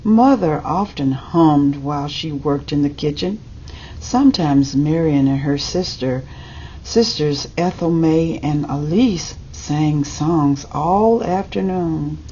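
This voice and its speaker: A woman reading a children's picture book aloud in a measured, deliberate way, as a storybook reader. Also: low-pitched, mellow. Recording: dull lo-fi audio with background noise.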